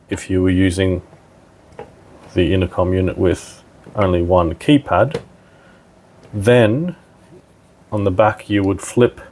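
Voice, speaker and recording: A man's voice: low voice, a little mellow, slight background noise. A man giving a casual, plain-spoken step-by-step technical demonstration as a tutorial presenter.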